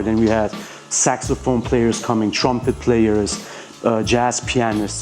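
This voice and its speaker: A man's voice: on the low side, darkish tone. A man from Iran whose first language is Persian, a rapper answering interview questions in a hesitant way, with many fillers and restarts.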